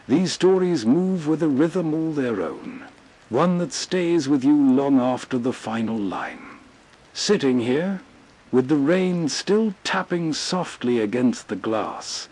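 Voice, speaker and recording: A man hosting an old-time radio channel, giving slow, soothing scripted narration. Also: a little high, darkish tone, husky. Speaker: host.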